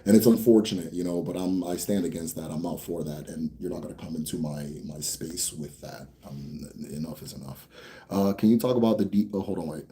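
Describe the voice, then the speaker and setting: Deep, dark-voiced American man hosting. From United States. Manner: animated, blunt, swears, rambles, then slows to explain. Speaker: host answering viewer questions. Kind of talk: casual livestream Q&A.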